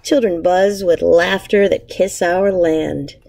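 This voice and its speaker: A woman in her thirties, an elementary teacher reading a picture book aloud to children with measured, expressive storybook phrasing. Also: on the low side, creaky. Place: indoors, empty school classroom.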